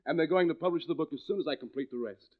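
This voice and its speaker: An actor playing a courteous, inquisitive and intense doctor in 1940s radio drama style. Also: male voice, fairly high pitch, very fast, natural voice. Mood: warm. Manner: formal, inquisitive, earnest. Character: courteous, intense male doctor writing about criminal minds. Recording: dull vintage 1940s radio recording.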